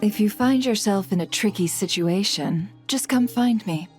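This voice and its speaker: A woman's voice: mid-range voice, a little mellow, natural voice. Composed, shrewd businesswoman played by an actress over music. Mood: firm, businesslike. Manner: composed, measured, suavely formal. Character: poised, shrewd businesswoman running a guild. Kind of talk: video game character acting, scripted dialogue. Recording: game music behind the voice.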